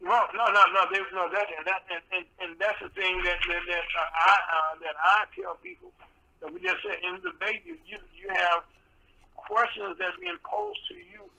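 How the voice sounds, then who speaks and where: A man hosting a radio talk show with a soft voice, rambling and full of fillers and restarts. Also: sits high, expressive, normal speed, gravelly, rough recording.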